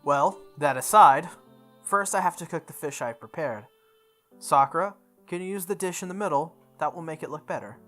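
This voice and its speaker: A woman's voice: low-pitched, normal speed, lightly acted. A woman voicing an earnest, matter-of-fact teenage boy in a visual novel read aloud, with music behind. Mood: practical, earnest. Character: earnest, practical teenage boy cooking breakfast.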